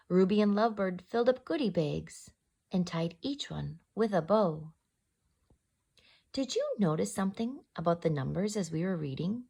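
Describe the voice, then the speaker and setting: A woman's voice: fairly low pitch, gravelly, mellow. A woman storyteller reading a children's picture book aloud expressively, addressing young listeners directly. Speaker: storyteller, host.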